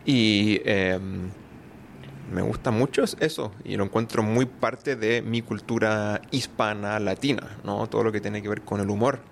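A man with a Chilean Spanish accent talks casually as an interview guest, his low voice rough with fry. He laughs often and keeps checking in with tag questions. A faint hiss sits under the recording. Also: steady tone, a little slow.

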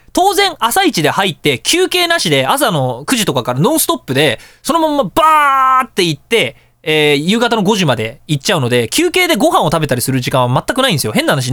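Very animated, fast-talking male radio host. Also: on the high side, dry recording, studio quality. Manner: animated, chatty storytelling with colloquial asides. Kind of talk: casual radio talk, telling anecdotes.

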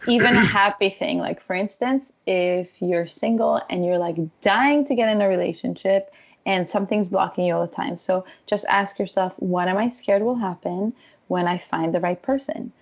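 A woman's voice: mid-range voice, normal speed, gravelly, highs cut off. A woman from Israel, a wellness coach, speaking as a podcast guest and telling stories animatedly. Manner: animated storytelling, frequent laughs. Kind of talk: conversational interview.

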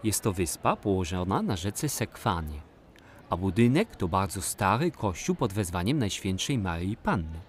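A man's voice: low-pitched, sing-song, normal speed, slight background noise. An actor playing a kindly, patient man who explains and encourages as a guide in a children's audio play. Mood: patient, explanatory.

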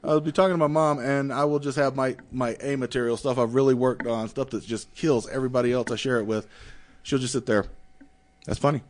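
A young man on a podcast, cracking wry quick jokes in casual banter. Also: medium pitch, steady tone. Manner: wry joker, quick quips.

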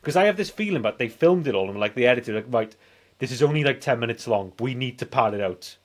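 A man's voice: medium pitch, animated, quick pace. A British man in his forties co-hosting a casual film podcast, making points emphatically and repeating himself for effect. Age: 40s. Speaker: film review podcast co-host.

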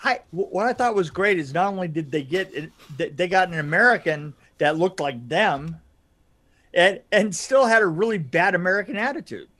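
A man's voice: high-pitched, lively intonation, normal speed, a bit bright. A man co-hosting a film podcast in casual chat, emphatic and jokey, often repeating himself. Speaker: podcast co-host reviewing films.

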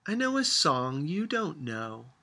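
A man's voice: fairly high pitch, big pitch swings, slow, pushed and bright, pitched above the performer's own voice, heavily acted. A man voicing a feisty, stubborn old grandmother in a storybook, with emphatic, argumentative delivery. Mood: teasing, stubborn. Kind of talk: storybook character voice.

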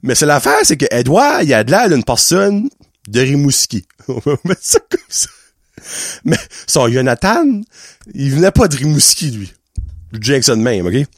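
Animated Québécois storyteller man. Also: mid-range voice, moderate pace, no room sound, clean studio sound. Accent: Québécois French. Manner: animated, laughing, mixes in English words. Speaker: podcast host. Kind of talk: casual storytelling.

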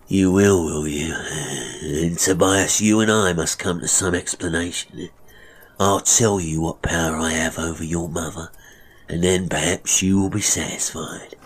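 A man's voice: sits low, breathy edge. A man voicing a menacing, mocking murderous barber in a Victorian melodrama story. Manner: mocking, threatening, chuckling, oily when polite. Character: menacing, sneering murderous male barber, gloating villain.

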